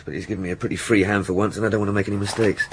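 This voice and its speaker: An actor playing an earnest, methodical police sergeant in a radio drama, explaining eagerly. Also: male voice, lowish voice, steady tone, very fast, slight background noise, natural voice. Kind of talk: naturalistic British radio drama acting.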